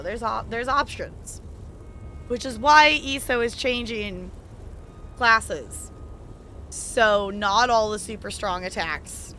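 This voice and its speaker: Woman, bright voice, casual game streamer, hesitant rambling delivery, thinking aloud, slight background noise. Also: mid-range voice. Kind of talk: casual chat while livestreaming a game.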